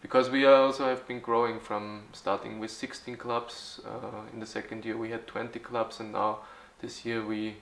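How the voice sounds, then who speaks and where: A man in his forties from Austria, answering interview questions haltingly, with many fillers and restarts. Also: lowish voice, flat delivery, roomy sound, a little hiss or hum. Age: 40s. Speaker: youth football club organizer.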